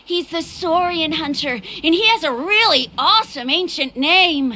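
A woman voicing an excitable young boy, gushing and eager, in video game dialogue. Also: very high-pitched, normal speed, a little hiss or hum, exaggerated voice.

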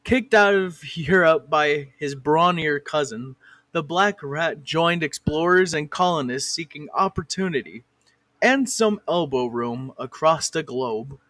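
A man reads a mock-educational history in a slow, deliberate way, with his voice sitting a bit high. He often stops to swear or bicker with an unseen director. The recording is close and dry. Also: fairly bright tone, dry recording. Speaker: narrator. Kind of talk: comic fan parody narration.

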